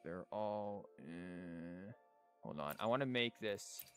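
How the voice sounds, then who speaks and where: Man, casual game streamer, rambling commentary, thinking aloud, clear game music behind. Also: sits low, animated, takes it slowly. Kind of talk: casual live gameplay commentary and explanation. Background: video game music and character lines.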